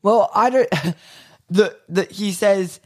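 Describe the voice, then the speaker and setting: A man co-hosting a podcast, rambling through absurd improvised stories in a deadpan way with lots of fillers. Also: sits high, dry recording. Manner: slow, rambling, deadpan nonsense with many fillers. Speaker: podcast co-host.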